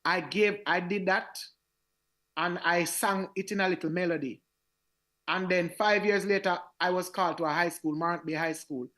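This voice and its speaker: A man with a Jamaican accent tells his story in a radio interview, his voice raspy. He speaks with animated energy, often doubling back to repeat his words as he goes. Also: sits high, moderate pace. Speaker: reggae singer, guest.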